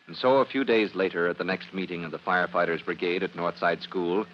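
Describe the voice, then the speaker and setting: An actor narrating an old-time radio serial in a dramatic, scripted announcer style. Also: male voice, low-pitched, steady tone, brisk, natural voice. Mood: dramatic, announcing. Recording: dull, hissy vintage radio recording.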